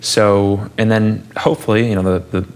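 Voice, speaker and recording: Guy in his 30s, super low voice w/ lots of fry, chatty host asking stuff. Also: level tone, normal speed. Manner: thinks aloud with restarts, asks curious questions. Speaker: podcast host. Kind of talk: casual conversational interview.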